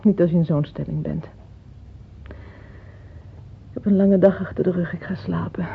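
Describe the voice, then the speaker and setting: A woman's voice: lowish voice, fairly even intonation, normal speed, vocal fry, a little hiss or hum. An actress in a Dutch radio play, playing an earthy, plain, motherly middle-aged mistress who speaks frankly, tenderly and teasingly, without hurry. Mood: reproving, weary. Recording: muffled tinny old radio play recording.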